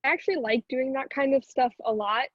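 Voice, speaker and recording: Young American woman, higher voice, roomy sound. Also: fairly quick, dull audio. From Houston, United States. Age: late teens. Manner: informal, chatty, frequent "like". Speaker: singer and narrator being interviewed. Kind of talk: casual chat.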